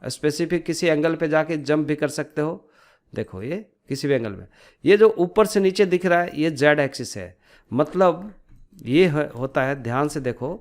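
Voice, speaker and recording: A man with a fairly high-pitched voice narrates an informal screen tutorial. He explains each step as an instructor would, often adding small tag questions to check that the learner follows.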